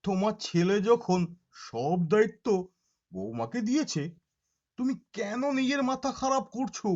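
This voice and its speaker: A man playing an older, unruffled father-in-law in a puppet show, speaking in an expressive, chiding manner. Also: high voice, moderate pace. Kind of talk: puppet show moral story acting. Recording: dull lo-fi audio.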